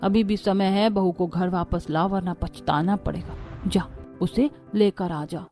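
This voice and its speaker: A woman voicing a kindly old grandmother who advises gravely and with care. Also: on the low side, steady tone, a little slow, mellow, faint music behind, lightly acted. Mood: grave, urging. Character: kindly old grandmother giving firm, caring advice.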